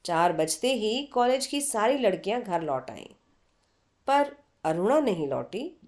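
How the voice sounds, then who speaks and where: A woman reading a short story as an expressive scripted narrator. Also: medium pitch, unhurried. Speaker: story reader.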